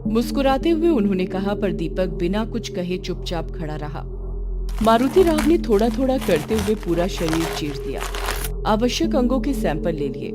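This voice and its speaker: A woman's voice: medium pitch, very animated, normal speed. A woman narrating a scripted horror story. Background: loud music.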